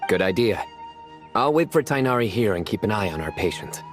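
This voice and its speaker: An actor playing a terse, serious young man who speaks in a flat, matter-of-fact way. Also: on the low side, fairly quick. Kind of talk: game cutscene voice acting. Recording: studio voice with faint music.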